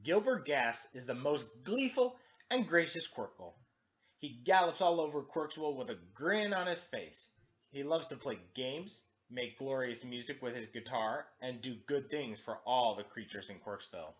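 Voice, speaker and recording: A man in his thirties reading a children's storybook aloud as a teacher, with exaggerated, expressive emphasis, recorded indoors at home. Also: mid-range voice, normal speed, muffled recording. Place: living room, indoors.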